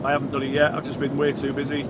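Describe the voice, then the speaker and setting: A man's voice: completely flat delivery, very fast. A man with a Yorkshire accent and a slightly congested, sniffly voice, chatting casually and bluntly to viewers as a truck driver vlogger, thinking aloud as he goes. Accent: Yorkshire English. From Yorkshire, England. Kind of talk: casual rambling chat to viewers. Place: inside a lorry cab while driving. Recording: loud engine and road rumble.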